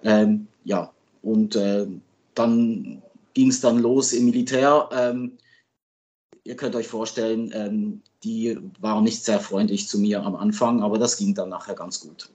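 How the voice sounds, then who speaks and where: A man in his fifties from Switzerland, a native French speaker, answering as a guest expert in a halting, conversational way. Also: fairly low pitch, steady tone, darkish tone. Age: 50s. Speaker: sports journalist and guest expert.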